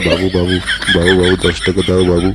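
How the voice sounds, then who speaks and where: A man as a low-voiced village beggar. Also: monotone, quick pace, close to an everyday voice. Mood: pleading. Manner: repetitive pleading. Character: pleading, whining male village beggar. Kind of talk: comic cartoon dubbing. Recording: noisy track with birdsong.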